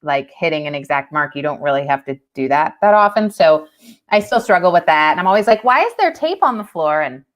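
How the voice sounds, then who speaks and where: A woman guest on a casual video-call chat, easygoing and quick to laugh. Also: low-pitched, lively intonation. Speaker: guest, actress.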